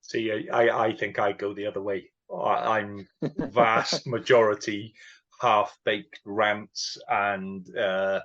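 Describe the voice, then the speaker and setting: A man's voice: lowish voice, flat delivery, slow, a bit bright, dull audio. A man hosting a podcast in a casual, chatty way, joking at his own expense. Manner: chatty, self-mocking, laughs between points. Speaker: podcast host.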